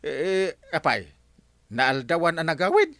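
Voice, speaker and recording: A man's voice: on the high side, huge pitch swings, a little slow, fairly bright tone, no echo, exaggerated voice. A man playing a nosy, incredulous village friend with an Ilocano accent, exclaiming in a radio comedy drama.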